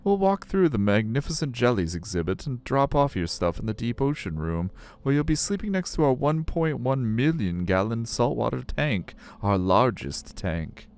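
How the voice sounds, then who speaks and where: A man's voice: mid-range voice, very animated, normal speed, few pauses, darkish tone, pitched above the performer's own voice. A man voicing a friendly, eager aquarium guide with a chipper tour-leader delivery in a story game.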